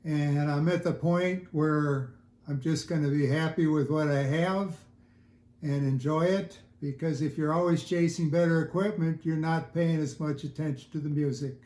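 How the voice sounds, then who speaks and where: Older male interview guest, higher-pitched yet soft-toned, halting and thoughtful, recorded in an echoey home room. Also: steady tone. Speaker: interview guest, audiophile hobbyist. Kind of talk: casual interview chat. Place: indoors at home listening room.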